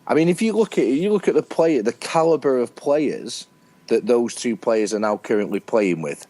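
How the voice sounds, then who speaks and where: A British man co-hosting a casual football chat, animated as he argues his points and acts out what players might be thinking. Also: fairly low pitch. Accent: British English. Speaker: co-host.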